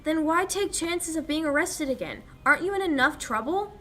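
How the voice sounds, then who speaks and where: A young woman acting an anxious, sharp-tongued fiancée in a 1940s-style radio drama. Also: sits high, animated, normal speed. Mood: worried, scolding. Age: young adult. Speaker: high school actor. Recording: background noise.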